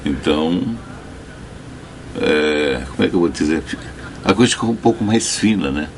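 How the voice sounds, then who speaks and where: An older man speaking as an interviewee in an animated way, his pitch swooping widely. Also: normal speed, slight background noise. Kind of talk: interview opinion.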